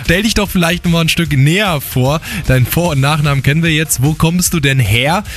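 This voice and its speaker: A man's voice: a little high, fairly quick, a bit bright. A male radio host chatting casually and cheerfully with a listener on air. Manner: upbeat and chatty, laughs easily. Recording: radio broadcast with faint music.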